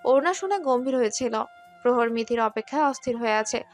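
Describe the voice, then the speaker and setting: Fairly high-voiced woman story narrator. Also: expressive, a little fast, soft background music. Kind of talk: scripted romance story narration.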